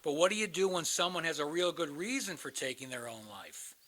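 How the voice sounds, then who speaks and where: Guy acting jaded older hotline counselor, cynical and bitter, slightly high voice, radio play style. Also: expressive, brisk, fairly bright tone. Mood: provocative. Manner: world-weary, philosophizing.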